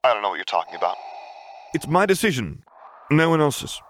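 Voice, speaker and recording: A man's voice: mid-range voice, big pitch swings, a little fast, fairly bright tone, a little hiss or hum, voice pushed up. A man voicing a cheeky young son with a cheery, sarcastic, falsely innocent manner in an audiobook story. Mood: feigned innocence.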